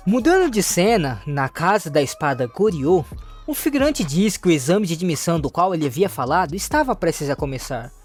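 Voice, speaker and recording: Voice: medium pitch, a little mellow. A narrator with a Brazilian accent reading a scripted story recap. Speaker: narrator of a manhwa recap channel.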